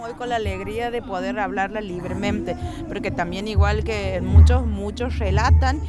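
A woman's voice: medium pitch, normal speed, loud music behind the voice. A young woman explaining things earnestly in an interview, with some hesitations. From Santiago del Estero, Argentina. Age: young adult. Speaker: interviewee, young cultural activist. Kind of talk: conversational interview.

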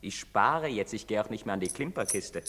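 A man's voice: lowish voice, lively intonation, normal speed, fairly bright tone. An actor playing a proud, defiant young man, embittered and in love, with intense, declamatory stage delivery in a reverberant space. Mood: eager, secretive. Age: young adult. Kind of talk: theatrical stage acting. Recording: reverberant theatre stage recording.

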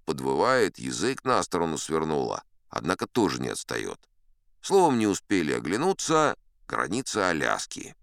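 Audiobook drama: self-assured old captain narrator, wry raconteur, smooth resonant voice, close dry sound. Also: male voice, low-pitched, a bit bright, dry recording, full character voice. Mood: excited. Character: old sea captain spinning tall tales, colourful and self-assured. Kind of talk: theatrical first-person audiobook storytelling.

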